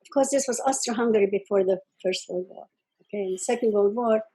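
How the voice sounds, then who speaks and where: A woman's voice: medium pitch, quick pace, dark tone, slightly rough, poor audio. An elderly woman from Czechoslovakia, speaking plainly as an interview guest and often ending sentences with "okay?". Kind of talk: conversational testimony.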